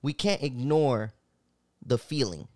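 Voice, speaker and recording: A man talks as a podcast host in an easy conversational style at an average pitch. He uses relaxed slang and sounds earnest and reflective as he makes his points. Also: slow. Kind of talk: casual conversational podcast talk.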